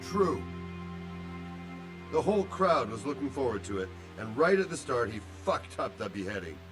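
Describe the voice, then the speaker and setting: An actor playing a coarse, jovial man in a video game cutscene, with a crude, mocking, drawn-out delivery. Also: medium pitch, sing-song, close to an everyday voice. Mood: gleeful mockery. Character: coarse, jovial man gloating over a botched execution. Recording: loud music behind.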